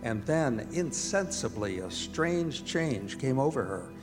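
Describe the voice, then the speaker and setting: A man plays a somber doctor reciting his diary for radio theatre. His mid-range voice is measured and dramatic, ringing in a hall over clear background music. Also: medium pitch, very animated. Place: performing arts center stage.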